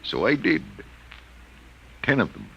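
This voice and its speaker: Mild brooding professor, American accent, middle-aged, bright tense voice, lively pitch swings, formal wording, vintage radio play. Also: male voice, slow, gravelly, breathy edge, lo-fi sound, full character voice. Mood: earnest. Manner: formal, precise, hesitant wording. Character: mild, brooding male college professor, troubled by his power. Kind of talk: 1950s American radio drama acting.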